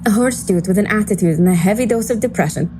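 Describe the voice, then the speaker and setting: A breezy, sardonic woman tech expert, voiced by an actress, talks casually at a medium pitch. It is audio drama dialogue with clear ambient noise behind. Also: fast talker, close to an everyday voice. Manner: sarcastic, casual.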